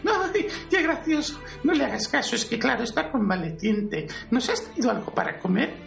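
A man with a Spain Spanish accent voicing a timid, rambling priest in an amateur parody audio drama, thin falsetto-like voice, hesitant and apologetic. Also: lively intonation, moderate pace, vocal fry, fairly bright tone, heavily acted. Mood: placating, nervous. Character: timid, rambling priest, caretaker brother of a fallen conqueror. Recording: roomy, distant mic, music underneath.

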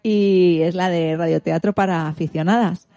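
A woman's voice: on the low side, fairly even intonation, normal speed, warm tone, no room sound. A woman hosting a radio programme in a casual style.

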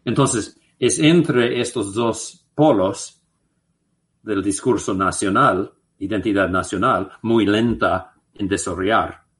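Slow halting man. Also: lowish voice. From United States. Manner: slow and halting, many restarts and filler sounds. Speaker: guest researcher. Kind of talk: interview answers, anecdotal.

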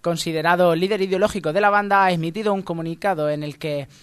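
Higher-voiced Spanish man presenting spoof news. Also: steady tone, quick pace, a bit bright, clean tone. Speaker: radio comedy co-host. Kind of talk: mock news reading with casual banter.

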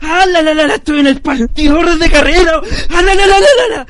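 A man's voice: extremely high pitch, fairly quick, crowd noise. A man voicing a frenzied evangelical preacher with a Chilean accent, shrieking crude praise in an improvised comedy radio play. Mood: ecstatic frenzy.

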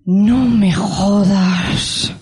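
A woman's voice: mid-range voice, fairly even intonation, extremely slow, a little mellow. A woman with a Spanish accent playing a hurt, reproachful businesswoman in an amateur radio soap opera. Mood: stunned, hurt. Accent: Spain. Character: hurt, reproachful businesswoman who feels betrayed by lover.

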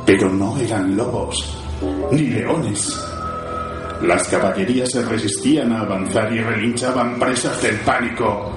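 A man's voice: on the low side, a little slow, close to an everyday voice. A man playing a grave storyteller in a gothic zombie war radio play, with solemn, ominous and theatrical delivery. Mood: horrified, suspenseful. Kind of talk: dramatic scripted radio-play narration. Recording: very echoey with loud music.

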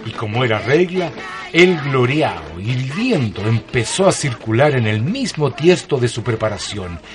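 A man with a Chilean accent narrating a scripted radio story in solemn, deliberate phrases. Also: medium pitch, big pitch swings, over music.

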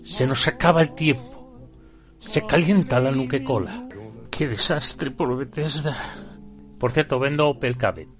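A man's voice: medium pitch, unhurried, pauses often, close to an everyday voice. A man playing a grim, weary post-apocalyptic survivor in a comic radio spot, speaking like an ominous, dryly comic announcer. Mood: grim, deadpan. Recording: loud music under the voice.

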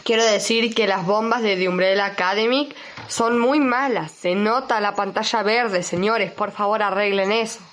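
A woman's voice: mid-range voice, moderate pace, background noise. A young woman with an Argentine accent chatting casually as a radio co-host, informal, blunt and rambling. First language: Spanish. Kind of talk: casual chat, series recommendations.

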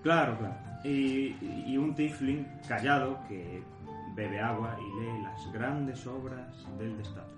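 A man's voice: medium pitch, slow pace. A man narrating a comic fantasy audio drama in a tongue-in-cheek, mock-solemn way. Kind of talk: scripted storybook-like fantasy narration. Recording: roomy echo with music behind.

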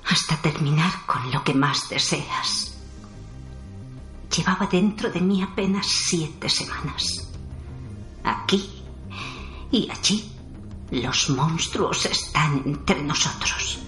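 An actress playing a sombre, wounded woman in a radio drama, speaking solemnly and deliberately. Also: low voice, fairly bright tone. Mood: sorrowful, bitter. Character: wounded, sombre woman, survivor of violent abuse. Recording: roomy echo under music.

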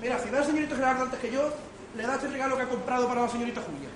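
A man's voice: very high voice, rapid-fire, distant mic. A man playing a bossy, scheming uncle in an amateur radio comedy, with an emphatic theatrical delivery. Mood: brisk. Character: bossy, scheming two-faced uncle, a gentleman in farce. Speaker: amateur stage actor. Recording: echoey room, noisy, crowd murmur.

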